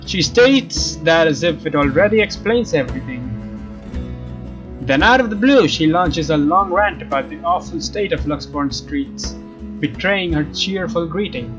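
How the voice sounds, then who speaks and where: A man narrating a visual novel as a let's player, expressive and informal, with casual asides. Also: on the high side, measured pace. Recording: dull lo-fi sound, music behind. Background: game music with guitar.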